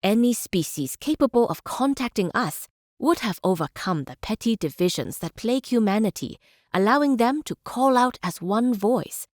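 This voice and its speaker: A dry, close recording of a woman acting as a thoughtful female chancellor in a strategy game. Her mid-pitched voice is formal and deliberate, like a scholar lecturing. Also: a little mellow, no echo, close to an everyday voice. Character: measured, idealistic female scholar-chancellor and stateswoman. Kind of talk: scripted game character speeches and lectures.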